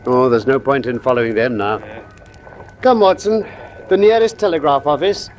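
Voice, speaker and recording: An actor playing a commanding British gentleman detective in a radio drama, with precise, clipped, formal diction. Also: medium pitch, normal speed, a little hiss or hum, lightly acted. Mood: brisk, wry. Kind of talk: classic radio drama acting.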